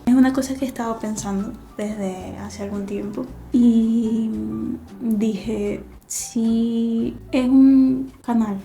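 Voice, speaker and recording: Relaxed woman, mellow and flat. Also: mid-range voice. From Venezuela. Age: 30s. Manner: soft, relaxed, thinking aloud with fillers and restarts. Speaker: artist vlogger. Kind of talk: casual vlog talk to camera. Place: living room at home, cats playing nearby.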